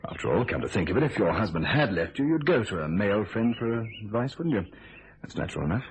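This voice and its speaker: An actor playing an anxious secret lover in a radio thriller, earnest, soothing and evasive. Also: male voice, on the low side, big pitch swings, quick pace, husky, full character voice. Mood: hushed, placating. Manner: earnest, placating, dramatic.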